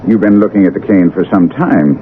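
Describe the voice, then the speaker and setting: Guy acting a smug sharp detective, deep lively voice, old radio play, phone-thin sound w/ some hiss. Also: natural voice. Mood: amused, probing. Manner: brisk, deductive, emphatic. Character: keen, self-assured English detective, superior and teasing. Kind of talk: 1970s radio drama acting. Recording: tinny old radio broadcast, faint noise.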